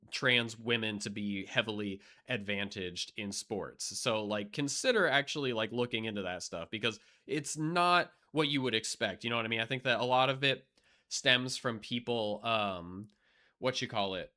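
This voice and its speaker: A man's voice: mid-range voice, pushed and bright. A young man chatting casually on a live stream, rambling with lots of fillers and restarts, blunt and profane, and losing his voice.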